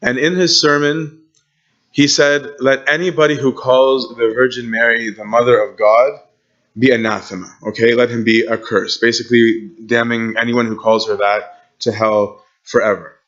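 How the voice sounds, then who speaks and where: A man's voice: mid-range voice, steady tone, normal speed, a bit bright, highs cut off. A man giving an informal church history lecture, often checking in with his listeners by asking "okay?" and "right?". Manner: conversational, frequent "okay?" and "right?" check-ins. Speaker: church teacher.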